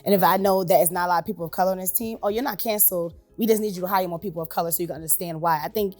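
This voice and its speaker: A woman's voice: lowish voice, steady tone, racing, soft background music. A woman speaking as a talk-show panelist, making her points in an emphatic, opinionated way. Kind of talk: casual discussion.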